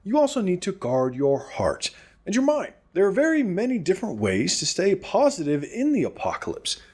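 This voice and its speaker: Cheerful male radio presenter for apocalypse comedy show, man acting, high voice, very animated delivery, earnest and encouraging. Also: moderate pace, slightly rough, heavily acted. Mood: upbeat, announcing.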